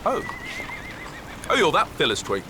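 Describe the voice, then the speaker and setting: Flustered, high-voiced 1920s broadcaster. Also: male voice, huge pitch swings, a little slow, background noise. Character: flustered, well-meaning 1920s British radio broadcaster. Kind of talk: period radio drama acting.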